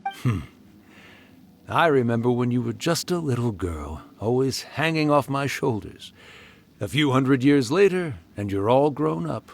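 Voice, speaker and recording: A man's voice: medium pitch, very animated, a little slow. An actor playing a genial, centuries-old male spirit in a video game, wry, affectionate and theatrical like an old uncle. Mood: nostalgic. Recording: clearly audible background noise.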